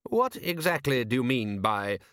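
A man voicing an urbane aristocratic ruler in an audiobook, measured and drily ironic. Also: mid-range voice, expressive, a bit bright, clean tone, no room sound, clean studio sound. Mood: dry irony. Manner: measured, deliberate, drily sardonic.

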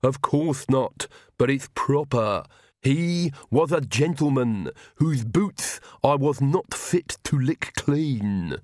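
A man voicing a grumbling old male servant who mutters slowly and pityingly to himself in a storybook reading. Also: mid-range voice, animated, a little mellow, no room sound, clean studio sound, pitched above the performer's own voice. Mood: proud.

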